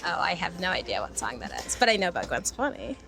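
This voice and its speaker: A woman's voice: lowish voice, huge pitch swings, fast talker, gravelly, pushed and bright. A young woman chatting casually as a podcast guest, answering questions. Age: young adult. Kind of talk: casual chat.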